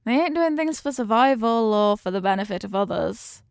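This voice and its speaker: A woman's voice: fairly high pitch, lively intonation, normal speed, clear, resonant tone, dry recording, studio quality. A woman playing a confident, affectionate mafia boss in an intimate roleplay, teasing and streetwise, with slangy talk and pet names. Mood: contemptuous. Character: confident, doting female mafia boss, tough but affectionate. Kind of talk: intimate ASMR-style roleplay acting.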